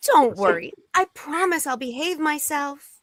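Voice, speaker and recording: A woman's voice: high-pitched, big pitch swings, unhurried, a bit bright, a little hiss or hum, exaggerated voice. A woman playing a confident, flirtatious female archaeologist in a live script table read. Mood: sly, confident.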